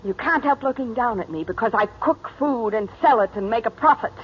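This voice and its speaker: A woman's voice: fairly high pitch, fairly quick, natural voice. An actress playing a proud, determined mother and businesswoman in 1940s radio drama style. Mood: firm, angry. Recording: dull, noisy 1940s radio recording.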